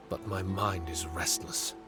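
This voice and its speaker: A man voicing an earnest, guilt-ridden paper spirit in a video game, speaking in a measured, formal way. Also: low voice. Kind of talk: video game cutscene dialogue.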